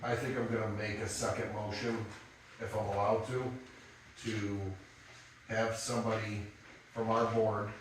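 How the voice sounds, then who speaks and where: A man chairing a town planning meeting, speaking in a hesitant, halting way. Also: lowish voice, flat delivery, a little hiss or hum. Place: echoey town office meeting room. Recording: echoey room from far off.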